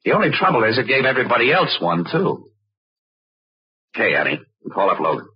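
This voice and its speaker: A man's voice: mid-range voice, wildly swooping pitch, brisk, husky, no room sound, full character voice. An American man acting a shrewd, wry newspaper photographer turned sleuth in a 1940s radio crime drama. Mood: probing. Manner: hard-boiled, wry, clipped. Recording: restored old radio, muffled and dry.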